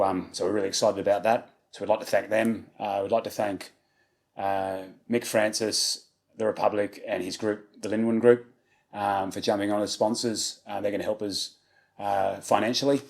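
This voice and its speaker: A man's voice: fairly low pitch, creaky, darkish tone. A man in his thirties with an Australian accent, answering interview questions casually with many fillers. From South Australia. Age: 30s. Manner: easygoing, many ums and restarts. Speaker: charity walker.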